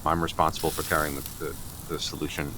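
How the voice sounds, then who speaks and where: An actor in an improvised comedy radio play playing a flustered, over-apologetic boyfriend who stammers, agrees readily and repeats himself. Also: male voice, extremely low pitch, level tone, fast, pushed and bright, slight background noise. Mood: anxious backpedaling.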